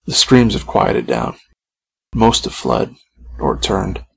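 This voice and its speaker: A man's voice: deep voice, sing-song, slow, creaky, a little hiss or hum, poor audio. A man reading a scripted first-person horror story as its author and narrator.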